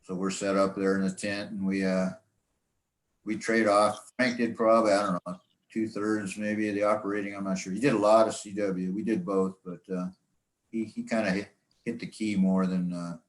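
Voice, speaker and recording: A man giving an informal club talk about his hobby in a rambling, halting, folksy way. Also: fairly low pitch, little pitch movement. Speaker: presenter, amateur radio hobbyist. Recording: echoey room sound.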